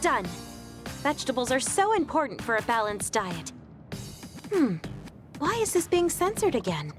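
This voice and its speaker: A woman playing a sweet, guileless young woman in a video game, speaking gently and politely. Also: sits high, sing-song, normal speed. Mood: cheerful, eager. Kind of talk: English video game voice acting. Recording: faint music behind the voice.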